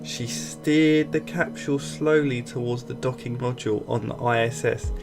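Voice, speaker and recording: A man reading a scripted children's story aloud in an expressive storytelling style. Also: mid-range voice, moderate pace, creaky. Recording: music bed behind voice. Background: background music.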